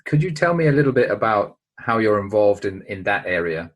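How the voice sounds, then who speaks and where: A man hosting a podcast, reading a scripted introduction and asking interview questions in a measured way. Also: lowish voice, sing-song. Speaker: podcast host.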